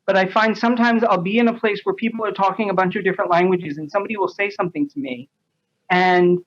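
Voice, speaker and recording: A man's voice: high voice, steady tone, fast talker, fairly bright tone. A man speaking as a podcast guest, chatting casually and a little hesitantly about his work as a translator. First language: English. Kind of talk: casual interview anecdotes. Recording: dull lo-fi audio.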